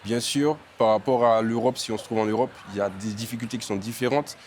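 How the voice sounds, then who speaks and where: A man's voice: lowish voice, steady tone, fast, a little mellow, a little hiss or hum. Hesitant young man from Martinique. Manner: hesitant, many fillers and restarts. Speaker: entrepreneur, interviewee. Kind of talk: casual interview answers.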